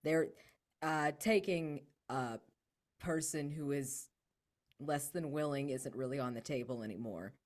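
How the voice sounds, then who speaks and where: Female tabletop player, low-pitched voice, casual improvised description, halting with repeated words. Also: a little mellow.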